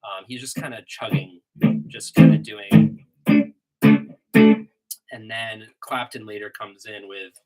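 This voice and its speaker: A low-voiced man with a bit of a cold runs a relaxed livestream and answers chat questions as they come in. He talks in a rambling way with plenty of ums. The sound comes through a desktop computer mic, with guitar playing in the background. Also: takes it slowly, long pauses, rough recording. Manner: relaxed, rambling, many "um"s and restarts. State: has a cold, a little sniffly. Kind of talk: casual livestream Q&A. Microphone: iMac desktop microphone. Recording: home desktop computer recording. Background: guitar playing between answers.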